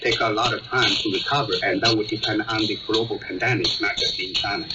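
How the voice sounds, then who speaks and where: Male radio reporter guest, lowish voice, halting explanations, dull distant shortwave sound. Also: fairly even intonation. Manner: halting, repeats and rephrases as they explain. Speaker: news reporter as panel guest. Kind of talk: live radio interview commentary. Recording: muffled shortwave radio, heavy static, distant. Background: loud shortwave static.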